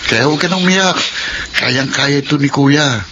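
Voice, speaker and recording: A man's voice: fairly high pitch, moderate pace, bright, forceful tone, muffled recording, slight background noise. A man with a Filipino accent acting a struggling, proud young breadwinner and protective big brother in a radio drama. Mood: reassuring, tender. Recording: music bed behind the voice.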